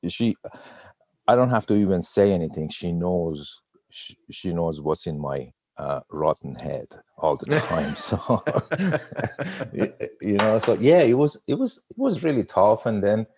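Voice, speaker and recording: A man in his fifties with a Persian accent, speaking very deep and very slowly. He halts often and repeats words with a slight stutter as he tells his own story. His voice comes through a dry, dull web-call line. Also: expressive, darkish tone, dry recording. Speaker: guest trader. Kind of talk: personal story on a webinar. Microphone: video call.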